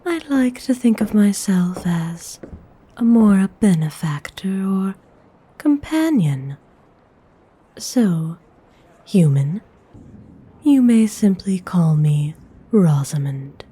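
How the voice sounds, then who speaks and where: A woman playing an aristocratic lady vampire in an ASMR audio roleplay, speaking softly in a formal, refined, half-whispered way, haughty yet benevolent. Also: medium pitch, animated. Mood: commanding, curious. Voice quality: soft, breathy, half-whispered. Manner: unhurried, formal, coolly refined.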